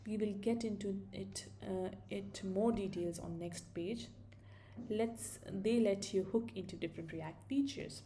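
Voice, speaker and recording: A woman's voice: mid-range voice, measured pace, dark tone, a little hiss or hum. A young woman from India with an Indian accent, casually thinking aloud as she walks through a coding tutorial, with fillers and self-corrections. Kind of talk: screen-recorded coding tutorial.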